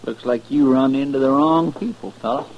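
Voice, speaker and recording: A man's voice: mid-range voice, normal speed, natural voice. A muffled, faintly noisy old radio recording of an actor playing a western lawman's male sidekick. He is loyal, plain-spoken and folksy, pressing his words with emphasis. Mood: firm, scornful. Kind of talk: 1950s western radio drama acting.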